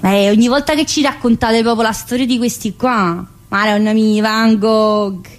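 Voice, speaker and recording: Woman acting a brash, needy female prisoner, high-pitched voice, southern Italian accent, colloquial dialect turns, theatrical monologue. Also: steady tone, moderate pace, natural voice. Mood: musing, bemused. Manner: colloquial, dialect-laced, addressing an unseen teacher.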